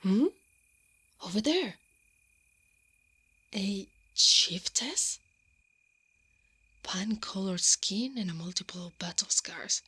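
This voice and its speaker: A woman playing a brave, sardonic female knight in an ASMR roleplay, breathy and often whispering, muttering dryly to herself. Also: mid-range voice, expressive, slow, long pauses, pushed and bright, exaggerated voice. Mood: hushed, calculating. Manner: muttering to herself, dry and sarcastic.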